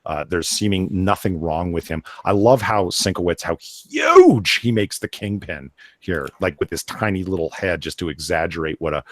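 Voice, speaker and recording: A man's voice: low-pitched, big pitch swings, normal speed, no room sound, studio quality. A man chatting casually as a comics show panelist, opinionated and sweary as he reviews a book.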